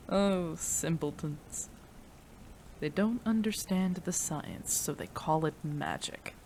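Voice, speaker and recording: A woman's voice: low-pitched, extremely slow, pauses often, a little mellow, slight background noise, heavily acted. A woman playing a devoted, desperate female mad scientist in an intimate, emotional audio roleplay, addressing a beloved. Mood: scornful. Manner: emotional, breathy sighs, addresses a beloved tenderly.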